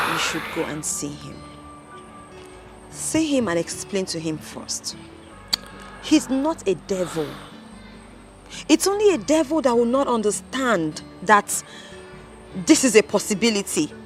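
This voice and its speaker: A woman playing a gentle, soothing comforter. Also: mid-range voice, sing-song, slow, pauses often, over music. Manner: soothing, reassuring.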